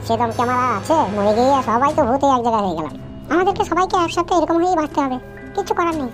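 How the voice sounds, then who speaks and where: A woman voicing a bossy, scolding ghost of a former thug in a comic cartoon, with gruff, coarse slang. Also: high-pitched, racing, music in the background, natural voice. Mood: resigned.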